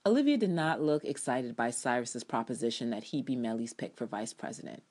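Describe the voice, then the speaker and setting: A woman with a low-pitched, very expressive voice reads her own review aloud as a dramatic piece. She is opinionated and sardonic, slipping from scripted lines into casual, salty asides with theatrical emphasis. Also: a little fast.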